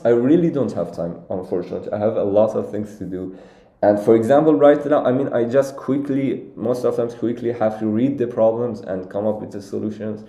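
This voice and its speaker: A man's voice: lowish voice, mellow, echoey room. A young man originally from Iran, walking through contest solutions on a live stream and thinking aloud with many fillers and restarts. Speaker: competitive programming streamer.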